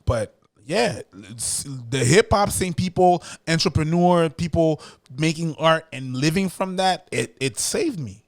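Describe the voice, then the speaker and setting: A man's voice: fairly high pitch, measured pace, few pauses, no room sound. A middle-aged man who grew up speaking French, telling stories as a guest in a casual interview, with lots of stammered restarts. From Montreal, Canada. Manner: animated storyteller, stammering repeated restarts. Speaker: guest, street dancer.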